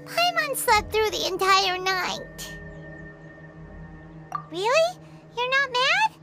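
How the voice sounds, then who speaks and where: A woman voicing a tiny, cute, eager childlike fairy companion in a video game, with a squeaky voice. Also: very animated, slow, full character voice. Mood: sheepish. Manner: speaks of herself in third person, cutesy. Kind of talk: scripted video game dialogue. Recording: loud background music.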